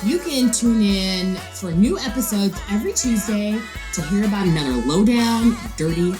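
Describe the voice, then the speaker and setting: A woman's voice: mid-range voice, animated, moderate pace, over music. A woman podcast host delivering a scripted promo for a show.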